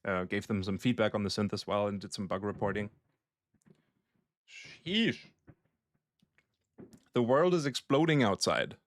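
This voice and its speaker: A man's voice: on the low side, unhurried, pauses often. A man from Germany thinking aloud in a slangy, casual way as he demos a music plugin on a livestream, like a producer at work. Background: synthesizer sounds and music.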